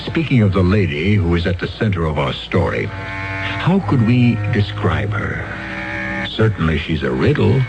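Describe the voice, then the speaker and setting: A man hosting a radio mystery drama in a measured, theatrical, wry narration. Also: low-pitched, sing-song, gravelly. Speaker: host and narrator. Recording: dull old radio broadcast with loud music.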